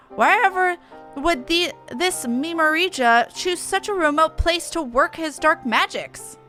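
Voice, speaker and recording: Woman playing a high, declamatory fantasy adventurer. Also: fairly bright tone. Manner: declamatory, formal. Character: brisk, officious fantasy-game ally rallying for battle.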